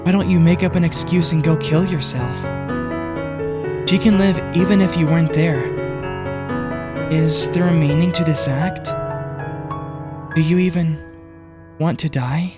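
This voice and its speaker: A woman's voice: low voice, steady tone, measured pace, pauses often. A woman voicing a taunting, accusing apparition from a dream in an anime dub, with cold, goading questions. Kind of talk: anime fan dub acting. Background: piano music.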